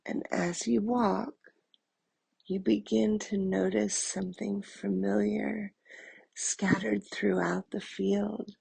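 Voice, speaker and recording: A woman narrates a guided meditation in a soft, mellow voice with heavy creak at the edges. Her delivery is even and soothing, with long pauses between short phrases. The sound is husky and gentle throughout. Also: medium pitch, no echo, poor audio.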